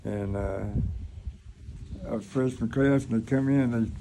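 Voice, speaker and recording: Gravelly elderly Southern American man reminiscing. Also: medium pitch, measured pace, noisy background. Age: 80s. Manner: folksy, rambling, acts out remembered dialogue. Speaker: grandfather recounting his life. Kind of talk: casual oral storytelling.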